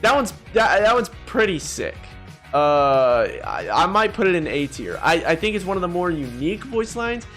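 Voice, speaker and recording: A man's voice: high-pitched, normal speed, faint music behind. A young man giving casual, opinionated, joking commentary as he ranks things in a gaming video. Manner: animated, joking, mildly profane.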